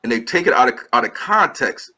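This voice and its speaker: A man's voice: mid-range voice, animated, a little fast, sharp tone, husky. A man, a filmmaker guest, giving long earnest explanations in an interview and restarting his sentences often. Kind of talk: interview answers.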